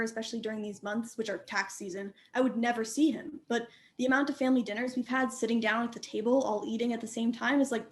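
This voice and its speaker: A chatty young daughter played by a woman talks conversationally in a documentary play. She speaks quickly, with a slightly high voice. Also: close to an everyday voice.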